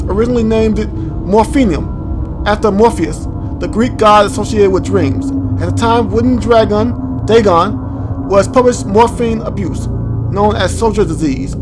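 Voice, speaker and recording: A man hosting a gaming video, thinking aloud in an informal, halting way as he comments and reads from the screen. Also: high-pitched. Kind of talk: casual let's play commentary, reading on-screen text aloud.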